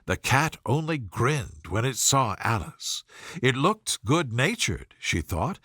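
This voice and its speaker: A man narrating a bedtime story in a soothing, gentle, measured way. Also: mid-range voice, sing-song, dry recording, clean studio sound.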